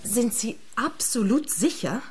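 A woman playing a murdered woman's dismayed, protective best friend in a radio crime play, with a husky voice. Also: a little high, animated, unhurried, loud music behind the voice. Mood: disbelieving, disapproving.

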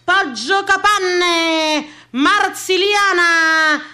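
A woman playing a bombastic fairground sideshow barker in a theatrical radio piece, crying out drawn-out, declamatory phrases. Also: very high voice, bright, forceful tone, heavily acted. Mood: bombastic hawking.

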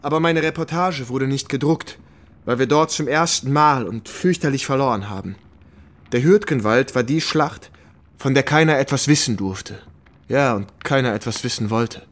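A man's voice: mid-range voice, normal speed, close to an everyday voice. Man playing a weary, reflective war reporter. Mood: agitated. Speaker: actor in a radio drama. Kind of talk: German radio drama dialogue, reminiscing.

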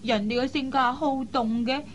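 A woman's voice: high-pitched, fairly even intonation, normal speed, natural voice. A woman playing a playful, headstrong young air hostess in a Cantonese radio drama, pouty and teasing. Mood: breezy, defiant. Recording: faint background noise.

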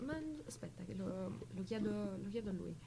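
A woman's voice: mid-range voice, steady tone, moderate pace, gravelly, warm tone, slight background noise, lightly acted. A woman playing a timid, muddled male prisoner of war in a stage play, stammering and repeating words. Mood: confused, timid. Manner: stammering, repetitive comic hesitation.

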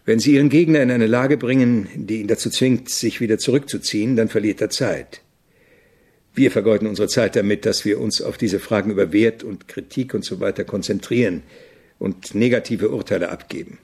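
An actor playing an opinionated, irritable avant-garde composer in a German radio drama, speaking in a didactic, deliberate way with a gravelly, husky voice. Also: male voice, fairly low pitch, darkish tone. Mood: irritated, lecturing.

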